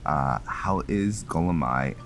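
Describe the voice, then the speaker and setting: A man's voice: very deep voice, expressive, takes it slowly, fairly bright tone. A man giving casual video game playthrough commentary, musing and thinking aloud as he roleplays. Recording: clear game noise behind voice.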